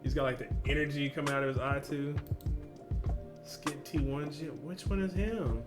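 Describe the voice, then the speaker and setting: A young man hosting a reaction video, chatting casually in slang and exclaiming loudly at what he sees. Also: fairly high pitch, steady tone, normal speed, loud music behind the voice. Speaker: reaction channel host.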